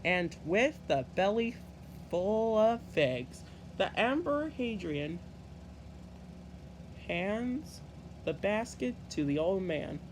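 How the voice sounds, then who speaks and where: A man reading a children's story aloud in an expressive, deliberate way with halting phrasing. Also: high-pitched, background noise. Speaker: storybook reader.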